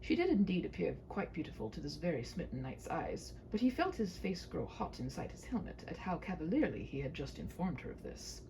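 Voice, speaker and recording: A woman's voice: fairly low pitch, sing-song, a little fast, gravelly, hardly any pauses, mellow, a little hiss or hum, low-quality recording. A woman reading a humorous storybook aloud as narrator, with wry, theatrical delivery.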